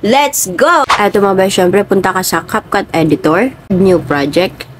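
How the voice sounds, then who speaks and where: A woman's voice: medium pitch, unhurried, slight background noise. A woman hosting a casual how-to tutorial. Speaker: video tutorial host. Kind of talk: casual spoken app tutorial.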